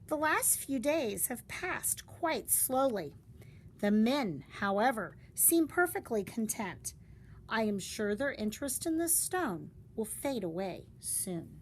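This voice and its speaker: Female storybook reader, slightly high voice, very varied intonation, dramatic and deliberate delivery. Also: very animated. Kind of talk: expressive read-aloud of a story. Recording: faint background noise.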